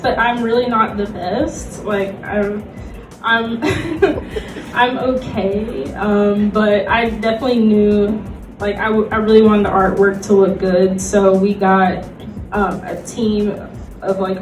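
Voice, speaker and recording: A young woman talking casually as a convention panelist, in a chatty, rambling way. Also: medium pitch. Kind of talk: casual informal panel talk. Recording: echoey room, distant mic, faint music.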